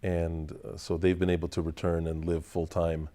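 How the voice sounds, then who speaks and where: A man whose first language is Russian, answering interview questions in a measured, deliberate way, pausing to find his words. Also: sits low, flat delivery, dark tone. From Russian family, raised in the United States. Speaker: interview guest. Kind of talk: thoughtful conversational answers.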